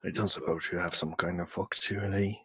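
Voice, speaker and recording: A man playing a tabletop roleplaying game online, trading dry deadpan quips. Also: sits low, fast, breathy edge, no echo. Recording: tinny online call audio.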